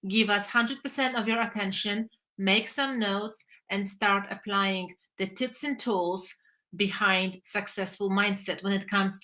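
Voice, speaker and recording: A woman's voice: medium pitch, sharp tone. A woman hosting an online workshop over a video call, speaking with formal, enthusiastic welcomes. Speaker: workshop host.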